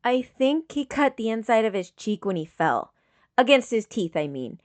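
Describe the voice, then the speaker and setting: A woman's voice: on the high side, sing-song, normal speed, no echo. A woman reading the part of a worried, protective young man in a story, casual and plain-spoken. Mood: dull, subdued.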